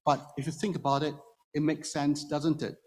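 In a church with long reverb, a man preaches in a slightly high voice. He is a native Hokkien speaker and explains scripture step by step, turning often to his listeners with rhetorical questions. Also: little pitch movement, moderate pace, darkish tone. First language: Hokkien Chinese. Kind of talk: expository sermon to a congregation. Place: church room before a congregation. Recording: reverberant hall, long echo.

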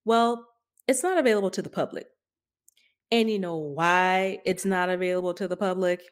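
Casting: female tech podcast co-host, lower register, candid and emphatic, conversational delivery. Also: normal speed, clean tone. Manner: opinionated, emphatic, skeptical. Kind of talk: tech news commentary, conversational.

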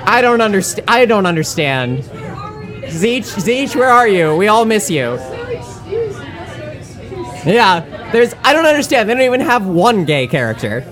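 A woman's voice: medium pitch, big pitch swings, a little slow, pauses often, faint music behind, some chatter behind. A woman hosting a live podcast in a bar, joking loosely with guests and laughing a lot between her fillers. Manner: laid-back, joking, full of fillers and laughter. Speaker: podcast host.

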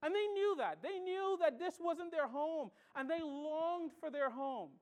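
A woman's voice: high voice, expressive, a little slow, a little mellow. A woman from the Bahamas preaching a sermon, stressing her points and repeating key phrases, with rhetorical questions that she answers herself.

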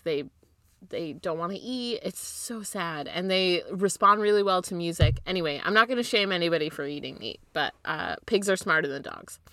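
A woman's voice: on the low side, fairly bright tone, no echo. A woman co-hosting a podcast, chatting casually in an informal, rambling and earnest way. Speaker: podcast co-host. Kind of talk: casual chat.

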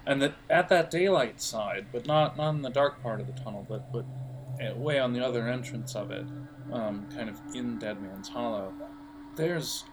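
A man narrating an improvised tabletop role-playing game as game master, often halting as he thinks aloud. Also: medium pitch, noisy background. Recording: music playing under the voice.

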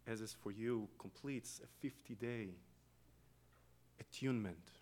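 Soft-spoken Israeli man preaching slowly. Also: lowish voice. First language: Hebrew. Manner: slow, reflective, with long pauses and soft trailing phrases. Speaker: guest preacher. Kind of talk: meditative sermon with chanted Hebrew opening. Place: church.

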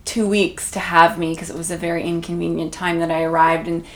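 A woman's voice: lowish voice, flat delivery, brisk, slight background noise. A woman from Canada, a guest in an interview, talking in a reflective, chatty way with many fillers. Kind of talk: conversational interview. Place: room in Toronto.

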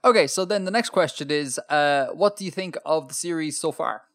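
A man's voice: fairly high pitch, fairly quick. A man co-hosting a podcast in casual chat, talkative and self-deprecating, with plenty of ums. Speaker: podcast co-host.

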